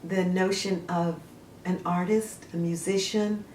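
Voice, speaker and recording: A woman's voice: on the low side, steady tone, measured pace, a little mellow, a little hiss or hum. A woman hosting a book series, gushing about stories and reading excerpts aloud with frequent fillers. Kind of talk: casual book review with read-aloud excerpts. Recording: roomy echo.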